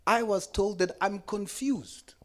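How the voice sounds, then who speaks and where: A man's voice: sits high, takes it slowly. A South African man whose first language is Afrikaans, giving an earnest, impassioned formal address at a committee hearing, repeating key points for emphasis. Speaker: member speaking in a committee hearing.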